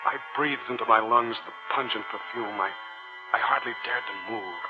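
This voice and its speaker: An actor playing an earnest, impulsive young student with a hesitant, theatrical delivery in a 1940s radio drama. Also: male voice, medium pitch, normal speed, close to an everyday voice. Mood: hushed, wondering narration. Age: young adult. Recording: muffled 1940s radio recording, music behind.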